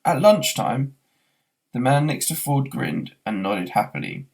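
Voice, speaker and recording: A man's voice: on the low side, huge pitch swings, normal speed, creaky, darkish tone. A man reading a story aloud as scripted narration. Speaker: reader, schoolteacher.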